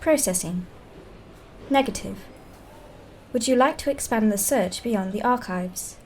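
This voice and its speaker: A woman's voice: medium pitch, unhurried, darkish tone, slight background noise, close to an everyday voice. A woman playing a polite automated station computer that speaks in formal, scripted phrases for a sci-fi audio drama. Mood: polite, impassive.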